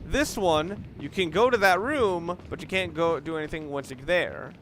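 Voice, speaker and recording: A man's voice: fairly high pitch, moderate pace, a bit bright, slight background noise. A man giving casual let's play commentary in a rambling, conversational way, often correcting himself as he goes. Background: game music.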